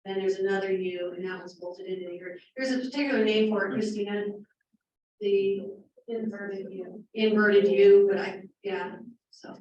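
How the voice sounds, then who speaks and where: A woman chairing a neighborhood meeting in a city hall room, talking loudly and explaining things directly at length. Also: mid-range voice, fairly even intonation, normal speed, muffled recording. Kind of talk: community meeting discussion. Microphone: handheld mic, from a distance. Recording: echoey meeting room from far off.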